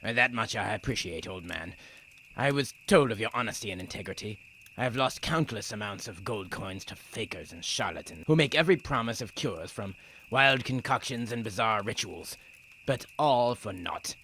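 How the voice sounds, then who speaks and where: A man's voice: on the low side, expressive, normal speed, sharp tone, a little hiss or hum. An actor playing a blunt, earnest Roman prison guard and worried father in an audio drama. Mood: weary, skeptical.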